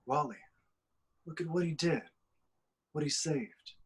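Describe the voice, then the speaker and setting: A man playing an earnest, consoling superhero at a table read, gently persuasive, on a distant home mic. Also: fairly high pitch, a little slow, breathy edge.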